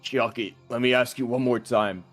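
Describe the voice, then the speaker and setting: A man reading out the part of an earnest, anguished young male student in a mystery game, gravely and dramatically. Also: medium pitch, fairly even intonation, normal speed, natural voice. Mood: grave, pleading. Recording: faint music behind.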